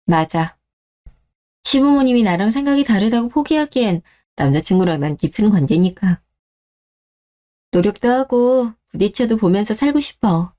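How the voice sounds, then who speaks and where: A self-assured young bride-to-be played by a woman speaks with a mellow tone at a middling pitch. She is composed and direct, delivering radio-drama lines in a matter-of-fact way. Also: expressive, normal speed, natural voice. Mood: resolute. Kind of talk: scripted story-radio drama.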